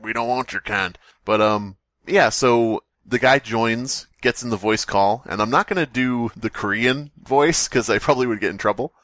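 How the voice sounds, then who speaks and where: A man co-hosting a podcast, telling a story to friends in a casual, animated, sweary way. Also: medium pitch, moderate pace, hardly any pauses, no echo. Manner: animated, profane, does voices in anecdotes. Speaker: podcast host.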